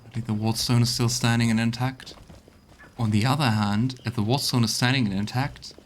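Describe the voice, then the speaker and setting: A man's voice: medium pitch, fairly even intonation, a little fast, a little hiss or hum. A man giving a casual let's play commentary, thinking aloud as he goes. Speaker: game streamer.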